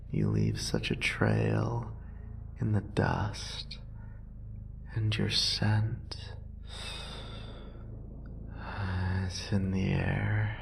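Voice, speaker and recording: A man playing an obsessive, murderous, possessive lover in a horror roleplay audio drama, with a breathy, gravelly voice and lingering repetitions. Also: lowish voice, slight background noise, exaggerated voice. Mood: obsessive, crooning. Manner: breathy whispering, drawn-out sighs, lingering repetitions.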